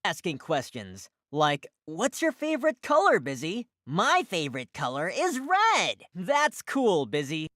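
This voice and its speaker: A woman's voice: fairly low pitch, huge pitch swings, unhurried, few pauses, fairly bright tone, dry recording, studio quality, full character voice. A woman voicing cheerful, inquisitive puppy characters in an educational preschool cartoon, with an exaggerated sing-song teaching delivery. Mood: bright, inquisitive. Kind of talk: scripted children's educational cartoon dialogue.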